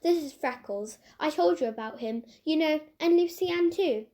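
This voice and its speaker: A woman voicing a mild, confident schoolboy with a brisk, matter-of-fact manner in a storybook. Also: sits high, expressive, dark tone, voice pushed up. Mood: friendly.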